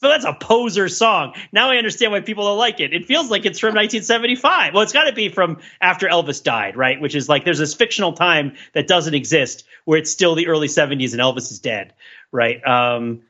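A bright, forceful man with a slightly high voice, talking casually as a podcast panelist. He digresses freely in wry, animated analysis. The sound is a little dull and lo-fi. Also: fairly quick. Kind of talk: casual discussion, rambling pop culture analysis.

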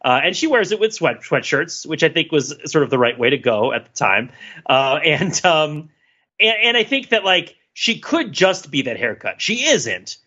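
A man from the United States co-hosting a podcast in casual chat, animated and laughing often, with many fillers and restarts. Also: on the high side, normal speed, slightly rough, dull audio. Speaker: podcast co-host.

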